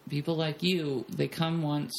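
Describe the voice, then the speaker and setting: A young woman with a low, gravelly voice tells candid stories in a loose, blunt and profane way. A faint hiss sits under the recording. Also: unhurried. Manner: blunt, profane, animated storyteller. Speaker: podcast guest. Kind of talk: candid storytelling chat.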